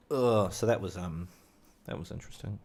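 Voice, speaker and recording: A man's voice: deep voice, a little mellow, husky. A young man with a New Zealand accent, rambling through a casual monologue with lots of fillers and asides. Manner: chatty, full of fillers and asides. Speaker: vlogger.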